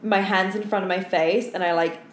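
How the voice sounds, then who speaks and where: Young female podcast co-host, tired, mid-pitched, sweary and slangy storyteller, recorded in a reverberant room. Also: steady tone, a little fast. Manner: slangy, sweary, heavy use of "like". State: tired from being up all night. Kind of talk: casual chat, telling work anecdotes. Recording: echoey room with clear background noise.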